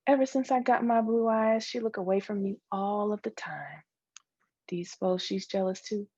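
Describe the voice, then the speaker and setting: A woman's voice: mid-range voice, fairly even intonation, normal speed, darkish tone, husky, no echo, lo-fi sound. A woman voicing a proud, needy young girl who is childlike, insistent and full of questions, in a literary read-aloud.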